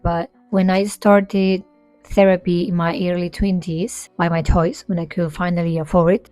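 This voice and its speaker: A woman's voice: mid-range voice, dry recording. Reflective young Spanish woman, candid. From Spain. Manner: reflective, soft-spoken and candid. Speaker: vlogger and independent singer. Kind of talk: improvised personal talk to camera.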